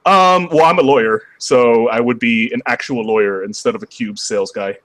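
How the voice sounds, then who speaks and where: Slightly hoarse man chatting casually. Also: mid-range voice, moderate pace, a bit bright. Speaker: interview guest, cube shop seller and video maker. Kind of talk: casual livestream chat.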